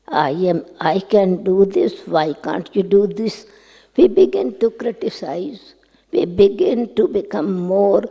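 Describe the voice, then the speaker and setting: Slow, gravelly older woman. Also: medium pitch. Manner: slow, soft and devotional, with whispered stretches. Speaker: spiritual teacher. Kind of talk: spiritual talk answering a question. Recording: echoey hall.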